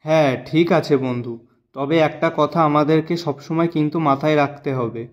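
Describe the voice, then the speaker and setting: A man's voice: a little high, close to an everyday voice. Man voicing an honest cartoon grocer, slightly dull sound. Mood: earnest. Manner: earnest, courteous, measured assurances. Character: honest, principled village grocer and loyal friend. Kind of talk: Bengali moral-story cartoon dubbing.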